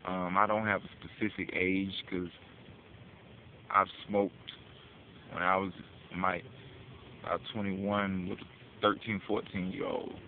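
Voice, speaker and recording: American man, low pitch, informal advocacy speech, slangy and sweary, rhetorical questions, thin phone-like sound. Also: flat delivery, a little slow, long pauses. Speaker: speaker giving a public address. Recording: tinny phone-like audio with hiss.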